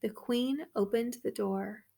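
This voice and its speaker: Slow, high-voiced woman reading a storybook. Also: vocal fry, dark tone. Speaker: storybook reader for third graders. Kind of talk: read-aloud storytelling for children.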